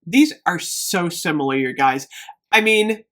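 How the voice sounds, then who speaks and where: A woman chatting casually to viewers in a product review vlog, emphatic and thinking out loud as she goes. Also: fairly low pitch, lively intonation, a little slow. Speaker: beauty product review vlogger.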